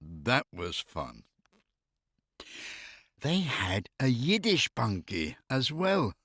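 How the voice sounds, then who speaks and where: A man's voice: medium pitch, wildly swooping pitch, very slow, a bit bright, no echo, clean studio sound. A middle-aged or older man chatting casually and humorously, telling anecdotes in a wry way and often amused by his own jokes. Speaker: expatriate living in Finland.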